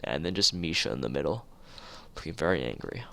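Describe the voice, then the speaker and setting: A man's voice: a bass voice, animated, brisk, gravelly, a bit bright. A man streaming a visual novel, reading the text aloud and making casual asides.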